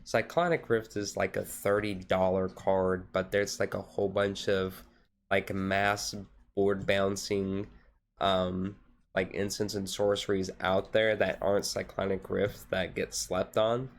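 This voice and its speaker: A man's voice: sits low, little pitch movement, measured pace. A man streaming casually, rambling in a laid-back, hesitant way as he chats with viewers. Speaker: live streamer. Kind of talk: casual chat while doing a card-game activity.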